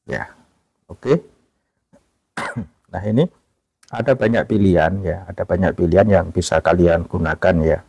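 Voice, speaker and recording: Male tutorial host, low and gravelly, relaxed and chatty with lots of fillers. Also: measured pace, long pauses, no echo. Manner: relaxed, repeats "ya" and fillers often. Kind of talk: casual screen-recorded app tutorial.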